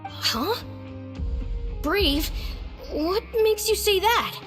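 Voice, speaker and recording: A woman's voice: very high-pitched, big pitch swings, very slow, a bit bright, heavily acted. A woman voicing a sulky, bratty young sick boy in a video game, whining and grumbling. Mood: uneasy, meek. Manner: whiny, grumbling. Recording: music behind the voice.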